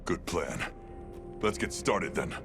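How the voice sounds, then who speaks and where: An actor playing a terse, watchful monster hunter in a video game, using few words. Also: male voice, sits low, expressive, slow pace. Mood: steady, agreeing. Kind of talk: video game cutscene acting. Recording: loud music under the voice.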